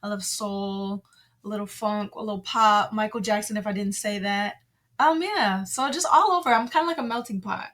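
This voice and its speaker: A woman's voice: medium pitch, moderate pace, a bit bright. A woman artist from Massachusetts, raised in Texas, chatting easily as a guest on a show. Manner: friendly, easygoing, laughs along. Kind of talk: casual interview chat.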